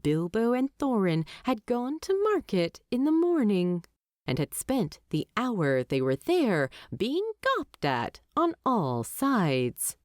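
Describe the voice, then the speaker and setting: A woman narrating fiction from a script as an audiobook narrator, in a measured, expressive storytelling manner. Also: medium pitch, dry recording, clean studio sound.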